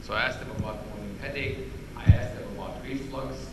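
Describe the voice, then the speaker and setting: A man with a loud voice giving an interactive medical lecture, quizzing the audience and restarting his sentences often. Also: medium pitch, steady tone, brisk, vocal fry, background noise. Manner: questions the audience, many restarts. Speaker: physician lecturer. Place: conference hall.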